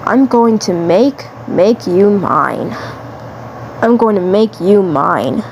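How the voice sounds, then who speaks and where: A woman's voice: mid-range voice, animated, slow pace, a little mellow, slight background noise. A woman voicing a possessive, lovestruck male alien commander in a fan drama, gloating and repeating phrases. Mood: obsessive.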